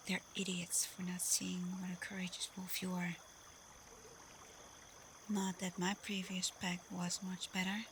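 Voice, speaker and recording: A woman performs a female werewolf pack leader in an intimate ASMR roleplay. Her voice sits fairly low and stays very level, soft and breathy. She is caring, protective and frank, with clear noise behind her. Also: natural voice. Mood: earnest, encouraging. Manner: soft, breathy, gently reassuring.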